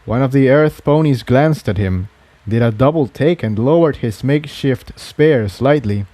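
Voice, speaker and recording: A man reading an adventure story aloud as an expressive scripted narrator. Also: mid-range voice, moderate pace, no echo. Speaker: fan fiction reader.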